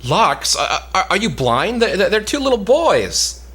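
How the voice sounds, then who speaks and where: A man's voice: high voice, sing-song, unhurried, sharp tone, breathy edge, voice pushed up, heavily acted. A man playing a timid, puzzled young page boy who stammers hesitantly in a comic Elizabethan stage play. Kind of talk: comic Elizabethan stage drama, cold read.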